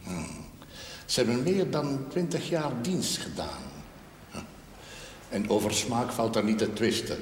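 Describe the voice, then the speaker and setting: Slow, pompous parish priest, echoing hall. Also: male voice, medium pitch, big pitch swings. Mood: stern, disapproving. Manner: formal, pompous, ornate wording with French phrases. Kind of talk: television period drama acting. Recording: echoey hall, long reverb, faint noise.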